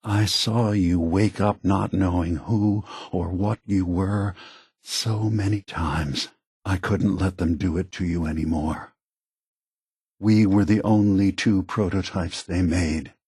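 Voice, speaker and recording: Slow, solemn old android leader. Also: male voice, low-pitched, fairly even intonation, a little mellow, breathy edge, no echo, studio quality, exaggerated voice. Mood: sorrowful, earnest. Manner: measured, deliberate, earnest, solemn. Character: calm, sorrowful, ancient synthetic android leader. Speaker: video game character voice actor. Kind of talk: scripted video game dialogue.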